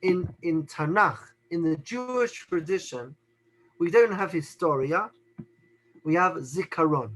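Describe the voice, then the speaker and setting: A middle-aged man teaching a religious lesson, speaking deliberately, repeating phrases and posing rhetorical questions to his listeners. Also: a little high, expressive, fairly bright tone, low-quality recording. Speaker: Torah teacher. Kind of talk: teaching talk on the Haggadah.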